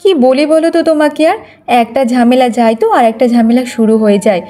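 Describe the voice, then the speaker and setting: A woman's voice: on the high side, fairly even intonation, brisk, mellow, close to an everyday voice. A woman voicing a gossipy, friendly village housewife in a cartoon, chatty and wheedling. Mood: confiding. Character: gossipy neighbour housewife, friendly, pleading, hurried. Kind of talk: Bengali moral-story cartoon dubbing.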